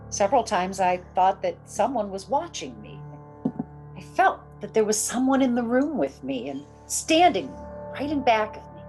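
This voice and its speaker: A woman's voice: mid-range voice, darkish tone, lightly acted, rough recording. An actress playing a frightened young woman who halts and repeats herself anxiously, in old-time radio horror style. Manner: halting, anxious, repeats words. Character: frightened, insistent young woman haunted by shadowy presences. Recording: radio drama with music behind.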